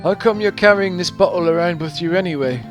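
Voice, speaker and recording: A man's voice: sits high, fast talker, over music, pitched above the performer's own voice, lightly acted. A man voicing a perky, chubby young saleswoman in a visual novel, with peppy, emphatic slangy speech. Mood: perky, chatty.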